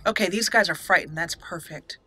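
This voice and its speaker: A woman's voice: lowish voice, brisk, background noise. A woman hosting a gaming channel, giving casual, chatty let's play commentary as she talks aloud to the characters.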